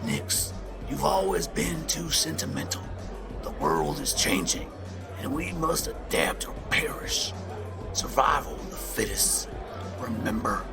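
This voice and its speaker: A man's voice: medium pitch, big pitch swings, a little slow, vocal fry, over music. A man voicing a scornful, menacing shifter pack leader who gloats and drops into hissed whispers. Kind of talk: audio drama acting.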